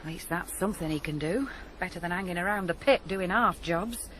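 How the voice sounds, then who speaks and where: A woman's voice: fairly low pitch, fairly quick, natural voice, poor audio. An actress playing a middle-aged working-class mother in a radio drama, teasing, sceptical and guarded. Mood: wry, wary. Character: wry, weary working-class mother of a teenager. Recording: faint noise, 1980s radio drama.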